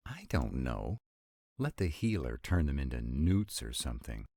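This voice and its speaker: Vampire lover, played by a male actor, romantic audio drama: extremely low voice, dry close sound, sardonic, exasperated, affectionate. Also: big pitch swings, normal speed, mellow, dry recording, exaggerated voice. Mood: exasperated ranting. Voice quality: very deep voice. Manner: sardonic, exasperated, intimate, swooping emphasis. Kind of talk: romantic roleplay audio acting.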